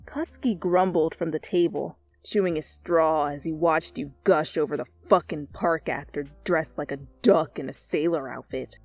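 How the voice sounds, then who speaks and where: A woman's voice: deep voice, normal speed, hardly any pauses, darkish tone, dry recording, faint music behind. A woman narrating fan fiction as a dramatic storyteller in an ASMR style.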